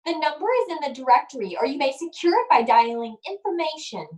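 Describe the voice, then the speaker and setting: An actress plays a switchboard operator in a vintage-style radio play. Her voice is very high with a sing-song lilt, and she stays polite, formal and impassive. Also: animated, quick pace. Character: unflappable, formal female telephone switchboard operator. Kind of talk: old-time radio drama acting.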